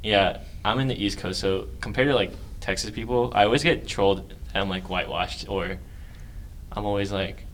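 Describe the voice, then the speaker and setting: Bright-voiced young man from Maryland. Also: fairly low pitch, a little hiss or hum, rough recording. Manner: excitable, slangy, quick to tease. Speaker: podcast co-host. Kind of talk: casual chat.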